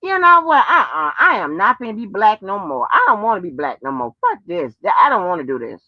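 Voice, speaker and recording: A woman's voice: mid-range voice, very animated, brisk, dry recording. An American woman chatting casually and profanely, drawing out her exclamations and using folksy terms of address. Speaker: host. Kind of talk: casual rambling chat to viewers and on the phone. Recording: dull lo-fi sound.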